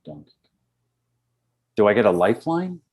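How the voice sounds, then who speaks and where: A man's voice: fairly low pitch, big pitch swings, moderate pace, darkish tone, husky. A man playing as a game show contestant, bantering casually.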